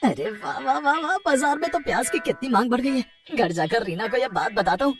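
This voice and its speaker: Woman doing greedy sly farmer guy for a kids cartoon, theatrical, highish voice, music behind. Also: lively intonation, fairly quick. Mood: gloating. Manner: theatrical, exclamatory. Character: greedy scheming male farmer, gloating, falsely wailing. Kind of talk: children's storybook cartoon dialogue.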